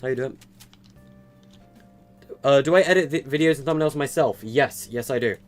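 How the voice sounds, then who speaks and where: A man's voice: mid-range voice, normal speed, soft background music. An eighteen-year-old man streaming a game live, chatting loosely with viewers, with many fillers and stutters. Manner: rambling, with frequent fillers and stutters. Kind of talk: casual live-stream chat answering viewers.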